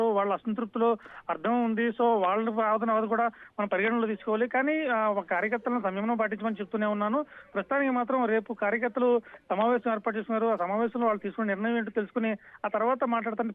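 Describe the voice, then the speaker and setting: A man reporting live for TV news as a field correspondent, unscripted, with halting starts and repeated phrases, over a phone line. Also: sits high, no room sound. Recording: dull, dry phone line.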